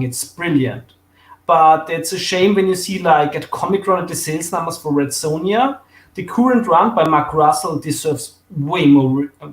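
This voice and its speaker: Austrian man in an echoey room. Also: a little high. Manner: enthusiastic, fillers and restarts, laughs easily. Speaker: guest. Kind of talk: casual chat about comics.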